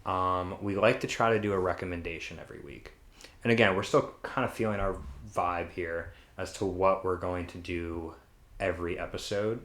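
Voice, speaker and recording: A man's voice: deep voice, fairly even intonation, normal speed, a bit bright. A man hosting a podcast in an informal, easygoing, chatty way. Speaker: podcast co-host. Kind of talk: casual chat. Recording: echoey room.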